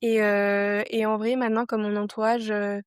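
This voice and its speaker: A woman's voice: mid-range voice, level tone, moderate pace, clear, resonant tone, no echo, studio quality. A woman in her twenties from France, a podcast guest talking things over casually and reflectively, with many fillers and restarts. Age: 20s.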